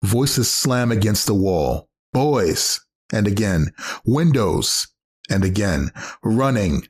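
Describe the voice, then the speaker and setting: A man giving a dramatic poetry recitation with measured, deliberate phrasing in short lines. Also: fairly low pitch, animated, husky. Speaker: poetry reader.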